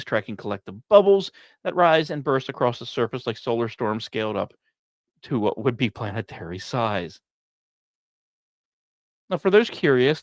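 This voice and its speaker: A man hosting a science podcast, reading scripted narration in a measured storytelling way with deliberate pauses. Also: medium pitch, no room sound. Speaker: podcast host.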